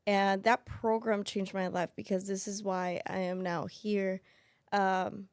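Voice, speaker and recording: A woman's voice: mid-range voice, little pitch movement, takes it slowly. A woman in her thirties whose first language is Spanish, talking as a financial aid specialist in a casual interview, thoughtful and full of restarts. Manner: thoughtful, with many fillers and restarts.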